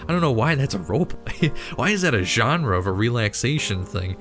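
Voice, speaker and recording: A man's voice: medium pitch, very animated, a little fast, a bit bright, faint music behind. A man hosting a video channel, chatting casually in a rambling, jokingly incredulous way with frequent self-interruptions. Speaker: YouTube cartoon channel host.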